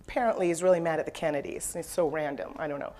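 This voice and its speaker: An American woman from Colorado giving an informal, animated business presentation on stage, engaging her listeners with questions and stories. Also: low-pitched, rapid-fire. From Denver, Colorado, United States. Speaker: motivational business speaker, branding expert. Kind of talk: live presentation with storytelling. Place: live event stage with an audience.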